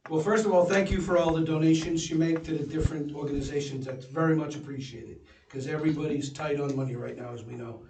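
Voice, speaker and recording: A man's voice: a little high, fairly even intonation, quick pace, few pauses, dark tone, a little hiss or hum. Plainspoken man in an echoey room. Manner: plainspoken, folksy. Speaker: commission member. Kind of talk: public meeting discussion. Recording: echoey room, distant mic.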